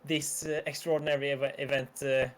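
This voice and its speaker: A man from Norway, speaking English as a second language, doing amateur sim racing commentary with hesitant pauses and fillers. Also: mid-range voice, flat delivery. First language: Norwegian.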